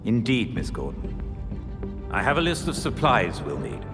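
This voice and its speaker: Game butler: elderly, courteous, lowish voice with lively intonation. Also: male voice, over music. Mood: courteous, reassuring. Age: older. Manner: formal, deferential, measured. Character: devoted elderly male butler, courteous and unflappable. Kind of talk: video game cutscene acting.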